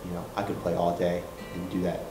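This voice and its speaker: Young man, very low voice, monotone, halting with ums, echoey room, loud music behind. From Michigan. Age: young adult. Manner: halting, many ums and uhs. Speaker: student interviewee. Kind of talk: casual interview answers.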